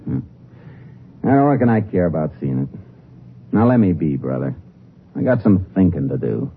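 An American actor playing a surly, guarded jailbird in a 1950s radio drama, talking in a gruff, curt way. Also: male voice, deep voice, big pitch swings, normal speed, slight background noise, exaggerated voice. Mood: surly, suspicious. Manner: gruff, curt, distrustful drawling talk. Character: undercover Texas Ranger posing as surly, guarded male jailbird. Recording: tinny 1950s radio recording, faint music.

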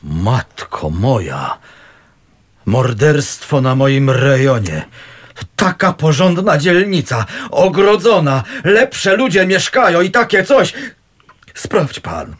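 A blustering caretaker with swooping pitch. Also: male voice, very animated, slow, a bit bright, heavily acted. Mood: alarmed, evasive. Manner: colloquial, blustering, officious. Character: bossy, grumbling, self-important male housing-estate caretaker. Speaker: actor in a radio play. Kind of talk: naturalistic radio drama acting.

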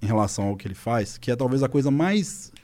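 A man's voice: medium pitch, fast. A man in his thirties from Minas Gerais, speaking as a guest on a podcast, telling stories with lots of filler words. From Minas Gerais, Brazil. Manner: animated storytelling, frequent filler words. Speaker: podcast guest, esports coach. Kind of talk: casual podcast chat. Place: podcast studio.

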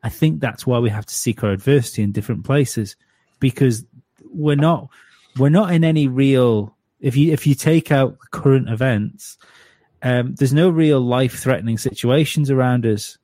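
A man's voice: mid-range voice, normal speed, dark tone, no room sound. A man in his late thirties, a podcast guest chatting casually, stumbling over restarts and repeated words. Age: 30s. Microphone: home studio mic.

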